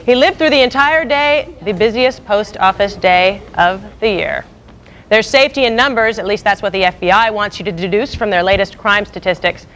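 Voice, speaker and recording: A woman's voice: medium pitch, a bit bright, a little hiss or hum. An American woman anchoring scripted local TV news with a wry, punchy, irreverent delivery.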